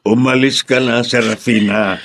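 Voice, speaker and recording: An actor playing a stern, protective old grandfather with a creaky voice in a radio drama. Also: medium pitch, fairly even intonation, a little slow, fairly bright tone. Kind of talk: Filipino radio drama acting.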